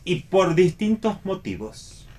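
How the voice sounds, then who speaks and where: A man's voice: high-pitched, very animated, slow, a little mellow, slightly rough, exaggerated voice. An actor playing an aloof, sardonic man with dry, literal retorts in a radio play reading. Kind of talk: radio read theatre dialogue.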